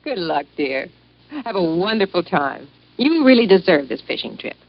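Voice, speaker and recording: An actress playing an affectionate, fussing sergeant's wife, sweet and coaxing, in a 1950s radio sketch. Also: female voice, on the low side, big pitch swings, normal speed. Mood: fond, fussing. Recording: dull old radio recording.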